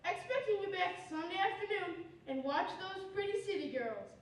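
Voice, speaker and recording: A woman's voice: very high-pitched, animated, moderate pace. A young woman playing a genial, jokey male host of an old-time cowboy radio variety show on stage. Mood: amused, folksy. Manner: folksy showman patter. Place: theatre stage before an audience. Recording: echoey stage from far off, audience noise.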